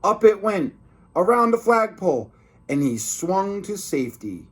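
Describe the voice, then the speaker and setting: A man's voice: high voice, very animated, unhurried, breathy edge. A man reading a children's book aloud expressively, like a teacher, with informal asides to his listeners.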